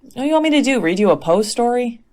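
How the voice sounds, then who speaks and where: A woman's voice: fairly high pitch, big pitch swings, fast, a little mellow. A woman playing a tired, sensible teacher in a comic phone-call sketch, dry and exasperated. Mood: reluctant, dry.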